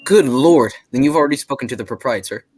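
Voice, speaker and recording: A man playing a genial, easily shocked English gentleman companion in a radio drama, earnest and given to exclaiming. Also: medium pitch, lively intonation, quick pace, no echo, close to an everyday voice. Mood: startled, disbelieving. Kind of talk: 1940s radio drama acting.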